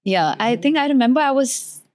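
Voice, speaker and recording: A woman's voice: high voice, expressive, a little fast, a little mellow. A woman in her twenties from India, chatting casually as a talk show guest. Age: 20s. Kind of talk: casual chat.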